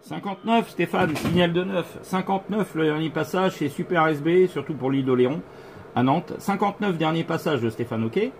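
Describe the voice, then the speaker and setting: A man's voice: on the high side, moderate pace, slight background noise. A man, a radio operator, chatting casually on a two-way radio contact from indoors at home.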